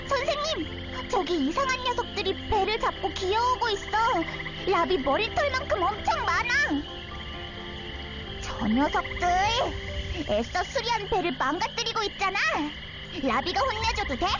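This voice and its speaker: A woman's voice: very high-pitched, sing-song, moderate pace. A woman voicing a plucky, innocent young girl with a childlike manner in an anime-style game. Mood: eager, indignant. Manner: childlike, refers to self in third person. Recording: slightly dull audio under loud music. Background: loud background music.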